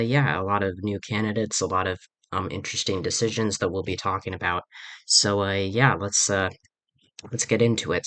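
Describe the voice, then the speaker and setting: A young man with a very low yet bright, forceful voice talks through politics in a loose, rambling way, full of fillers and restarts. He sounds groggy after a recent illness. The recording is dry and close, with no room sound. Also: no room sound. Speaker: political channel host. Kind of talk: casual political commentary video.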